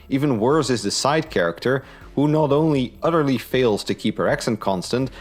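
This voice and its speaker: A man giving a scripted review in an informal, opinionated way. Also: mid-range voice. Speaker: book and audio drama reviewer.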